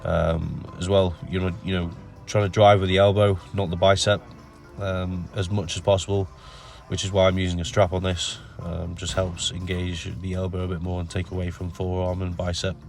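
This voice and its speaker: A man with a nasal voice from a cold, explaining his gym workout in a relaxed voice-over full of ums. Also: sits low, moderate pace, vocal fry, hardly any pauses, faint music behind. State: has a cold. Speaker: bodybuilder narrating his workout. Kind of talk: casual voice-over commentary.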